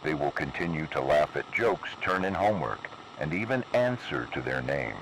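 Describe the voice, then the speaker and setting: A man voicing a cold, menacing emergency broadcast announcer in slow, measured, ominous narration. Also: a bass voice, lively intonation. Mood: official. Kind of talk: scripted analog horror narration. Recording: noisy analog video recording.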